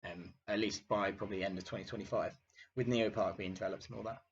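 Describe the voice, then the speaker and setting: A young man hosting a casual finance video, his voice croaky from illness, talking hesitantly with many fillers. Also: low voice, steady tone, quick pace. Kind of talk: casual market commentary. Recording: dull lo-fi sound, faint noise.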